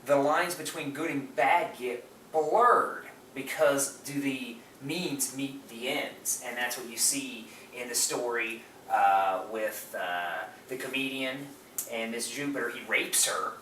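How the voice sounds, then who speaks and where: A man's voice: medium pitch, slow, pushed and bright. A man co-hosting a casual film discussion, talking in an effusive, emphatic way. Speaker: podcast co-host. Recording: roomy, far from mic, faint noise.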